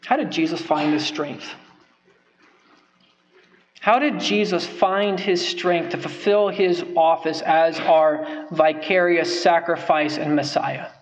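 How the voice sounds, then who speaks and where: Earnest young preacher with a high voice. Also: male voice, slow pace. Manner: earnest, emphatic, repeats phrases for stress. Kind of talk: sermon. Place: church sanctuary, at a wooden pulpit. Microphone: pulpit microphone.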